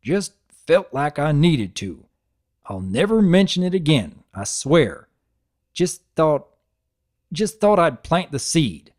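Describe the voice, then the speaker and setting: A bashful, folksy farm stallion, as a woman reader puts him on. He speaks low and slowly, hesitating and trailing off between phrases. It is a gentle storybook character voice. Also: sing-song, exaggerated voice. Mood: hesitant. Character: shy, slow-talking farm stallion. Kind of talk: dramatic audiobook reading.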